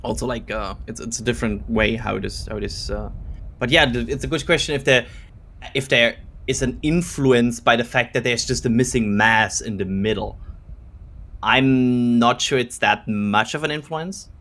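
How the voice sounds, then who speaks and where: A man's voice: mid-range voice, moderate pace, fairly bright tone. A man co-hosting a casual live commentary stream, speaking hesitantly and often correcting himself. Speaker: co-host.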